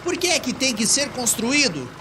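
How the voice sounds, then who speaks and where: Woman voicing an exasperated man over clear noise. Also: high-pitched, very animated, moderate pace, pitched above the performer's own voice. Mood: sarcastic. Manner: indignant, sardonic. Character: exasperated, sarcastic, stubborn ordinary male homeowner. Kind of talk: audiobook character dialogue.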